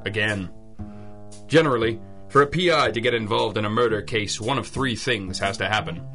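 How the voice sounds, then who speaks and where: A hard-boiled detective with a low, forceful, cutting voice. He is weary and sardonic, tossing off wisecracks deadpan in the manner of an old-time radio drama. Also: normal speed, soft background music. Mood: dry, sardonic.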